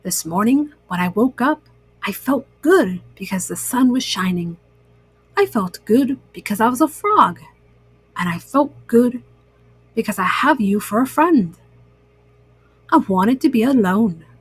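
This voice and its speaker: Woman as a contented, gentle storybook frog. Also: a little high, big pitch swings, measured pace, long pauses, breathy edge, dry recording, voice pushed down, full character voice, low-quality recording. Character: contented, kindly male frog, thoughtful friend.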